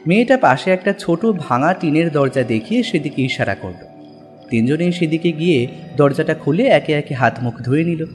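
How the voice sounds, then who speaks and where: A man's voice: fairly high pitch, expressive, moderate pace, faint music behind. A man narrating a scripted horror story in a dramatic style.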